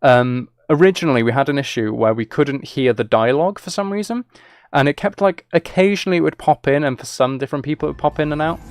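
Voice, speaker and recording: A man's voice: fairly high pitch, fast, smooth, dry recording, clean studio sound. A man chatting casually as he hosts a livestream, rambling and thinking aloud. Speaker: streamer.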